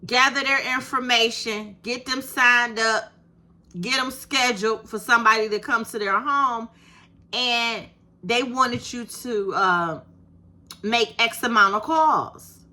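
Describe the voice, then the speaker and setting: A woman in her fifties with a soft voice, talking straight to her viewers in a casual, encouraging way and calling them "baby". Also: on the high side, slow pace. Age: 50s. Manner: animated, encouraging, talks to viewers with "baby" and "you hear me". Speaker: YouTube creator. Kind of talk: casual direct-to-camera talk.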